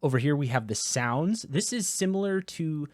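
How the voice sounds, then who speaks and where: A man casually walking through software settings in a product review, talking into a close dynamic USB microphone. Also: a little high, lively intonation, normal speed, no echo. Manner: casual, explanatory, with filler words. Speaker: tech reviewer.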